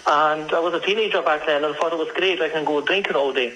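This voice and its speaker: Higher-pitched, bright-voiced man calling in. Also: fast, low-quality recording. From Germany, Scottish family. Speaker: radio guest, freelance wine consultant and sommelier. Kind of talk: casual explanatory interview. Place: remote call to a radio show. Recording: phone-in call with faint noise.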